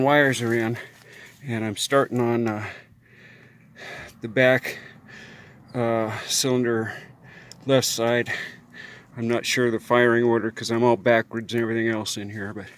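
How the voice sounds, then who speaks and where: A man's voice: medium pitch, fairly bright tone. An American man vlogging in a casual, unscripted way, talking in a folksy, rambling manner with homespun slang. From United States. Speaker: vlogger on RV living and repairs. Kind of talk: casual unscripted vlog talk.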